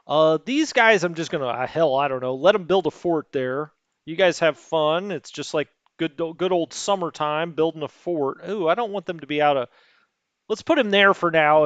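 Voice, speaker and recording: Chatty man thinking aloud, smooth voice. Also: fairly high pitch, fairly bright tone, no echo, highs cut off. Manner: rambling, self-questioning, hesitant, many fillers. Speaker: let's play host. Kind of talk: thinking aloud while playing a strategy game.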